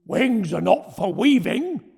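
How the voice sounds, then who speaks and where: Man voicing a loud, roaring red dragon. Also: very high voice, big pitch swings, takes it slowly, vocal fry, slightly rough, pitched above the performer's own voice, full character voice. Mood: scornful roaring. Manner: roaring, snorting. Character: roaring, fiery male red dragon, scornful bully.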